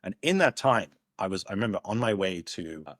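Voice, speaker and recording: A man's voice: deep voice, big pitch swings, fairly quick, no room sound, studio quality. A young man from Hong Kong, a podcast guest, tells his life story in a rambling, reflective way with many restarts. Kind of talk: casual storytelling interview.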